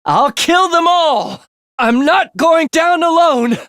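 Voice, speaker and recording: An actor playing a morbid young man in a video game, a soft-spoken killer who is languid, menacing and gleefully excited by death. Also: extremely high pitch, takes it slowly, no echo, studio quality, heavily acted. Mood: eager, combative. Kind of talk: theatrical video game voice acting.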